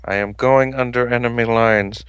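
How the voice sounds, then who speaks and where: A man's voice: mid-range voice, fairly even intonation, normal speed, a bit bright, clear, resonant tone, close to an everyday voice. A man voicing an earnest, heroic male cartoon cat in an amateur fan fiction reading, with stiff, solemn delivery.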